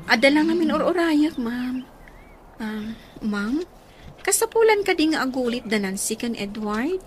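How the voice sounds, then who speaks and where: A woman's voice: sits high, lively intonation, measured pace, slight background noise. A woman playing a sociable, sympathetic young woman in a radio drama. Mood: subdued, concerned.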